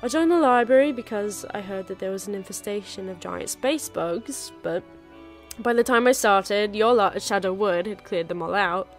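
A woman playing a warm, wry former investigator turned librarian in a full-cast audio drama. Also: mid-range voice, normal speed, faint music behind, natural voice. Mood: reflective.

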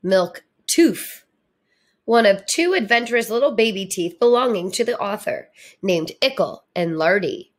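A woman reading a storybook aloud for children in a deliberate, expressive manner. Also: medium pitch. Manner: deliberate, animated delivery. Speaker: storybook reader, teacher.

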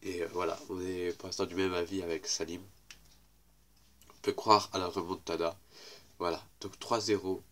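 A young man with a head cold talks over football clips in a deep, congested voice. He rambles casually, repeating himself as he points out each play. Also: little pitch movement, normal speed, long pauses, a bit bright. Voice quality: congested, little voice. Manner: rambling, repeats "regardez" and "voilà". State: has a cold, weak voice. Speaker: YouTuber commenting match highlights. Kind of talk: casual football highlight commentary.

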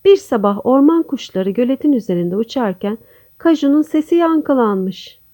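A woman storyteller reading a scripted children's bedtime tale in a gentle, expressive manner. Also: sits high, normal speed.

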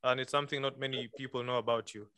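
A man's voice: medium pitch, fairly even intonation, rapid-fire, bright, forceful tone, slight background noise. A man moderating a webinar interview, asking questions with frequent hesitations and fillers. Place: room at a lectern.

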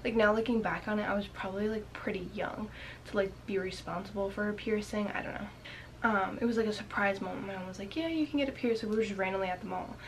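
A young woman tells a story to her webcam from a small bedroom. She sounds slightly raspy and talks in a loose, rambling way. She keeps saying "like" and often slips into a whisper. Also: medium pitch, quick pace, a little hiss or hum, poor audio. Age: young adult. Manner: chatty, many "like" fillers, drops into whispers. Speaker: vlogger. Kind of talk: casual storytelling to camera.

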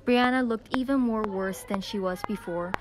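A woman's voice: mid-range voice, normal speed. A young teenage girl reading scripted narration for a school audio drama. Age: young teen. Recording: clicky amateur recording with background noise.

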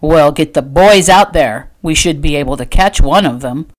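A woman's voice: low voice, normal speed, a little mellow, voice pushed down, natural voice. A woman voicing a stern, protective male rancher from a Western romance, speaking tersely in orders. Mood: gruff, dismissive.

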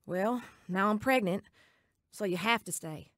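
A woman acts out a testy young girlfriend in a radio drama, demanding and impatient. Her mid-pitched voice sounds close and dry. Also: a little slow, fairly bright tone, dry recording, lightly acted.

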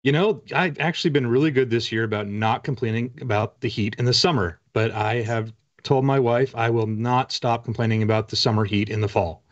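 A middle-aged man with an American accent, chatting casually as an author being interviewed, in a halting, reflective way. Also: mid-range voice. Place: home room with bookshelves, indoors. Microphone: video call. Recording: dull, muffled video-call audio.

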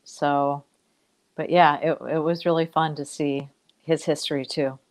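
A woman's voice: deep voice, flat delivery, measured pace. A middle-aged woman, a guest on a video call, telling family stories in a rambling, reflective way from a room at home. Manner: warm, rambling, reflective storytelling. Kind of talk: casual storytelling in an interview. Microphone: webcam on a video call.

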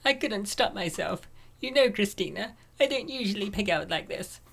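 A woman's voice: mid-range voice, lively intonation, normal speed, vocal fry. A woman playing a poised, well-off young woman in a comic dub, speaking in a natural voice. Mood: relaxed, indulgent. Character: rich, poised, unsuspecting young woman friend.

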